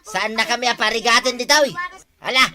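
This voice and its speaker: A man's voice: very high-pitched, fairly even intonation, a little fast. A man with an Ilocano accent playing a grumbling, wheedling old villager in a broad comedy. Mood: complaining. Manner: complaining, insistent. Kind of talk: broad barangay comedy acting.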